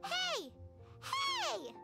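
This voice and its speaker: An actress voicing a small, childlike floating fairy companion in a video game, squeaky, cheeky and quick to exclaim. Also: female voice, wildly swooping pitch, creaky, heavily acted. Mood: exasperated. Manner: exclamatory, refers to self in third person. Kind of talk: English video game voice acting. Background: music.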